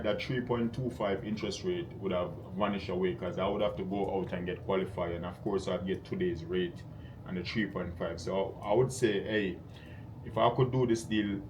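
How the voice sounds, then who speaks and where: A man on a sales call as an investor, talking in a direct, probing, conversational way and explaining his thinking. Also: fairly low pitch. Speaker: real estate investor cold calling. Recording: clear background noise on call recording.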